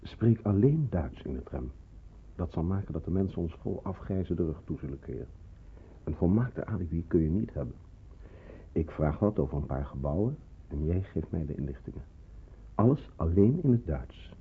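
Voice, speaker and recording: Low-voiced, brisk, fatherly resistance man. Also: animated. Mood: instructing. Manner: brisk, instructing, reassuring. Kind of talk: radio drama acting. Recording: tinny, hissy old radio recording.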